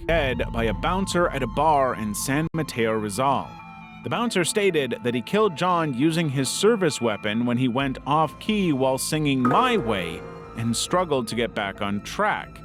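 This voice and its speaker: A man reading scripted narration in the role of an online video narrator. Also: medium pitch. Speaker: narrator of internet video countdown.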